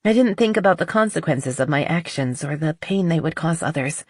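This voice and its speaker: An audiobook narrator voicing a nervous, earnest middle-aged woman confessing, with a halting, shaky delivery. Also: medium pitch, expressive, no room sound, studio quality, lightly acted. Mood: regretful.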